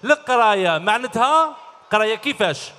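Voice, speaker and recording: Upbeat algerian guy, high quick voice, hosting kids on stage, big hall echo. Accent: Algerian Arabic. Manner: cheerful, animated, quizzing children. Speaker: children's show host. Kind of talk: live stage show talking with kids. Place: large concert hall stage. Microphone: handheld mic. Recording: long hall reverb.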